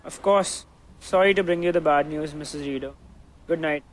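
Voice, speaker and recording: High school boy acting as businesslike aide, higher-pitched, radio drama, faint noise. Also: normal speed, natural voice, low-quality recording. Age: teens, high school student. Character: businesslike company aide bearing grim news.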